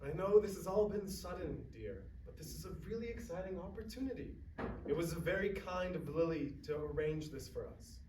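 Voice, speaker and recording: High-voiced, caring old husband played by a man. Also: expressive, normal speed. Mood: reassuring. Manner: gentle, reassuring. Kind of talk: staged play reading. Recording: echoey distant theater recording, noisy.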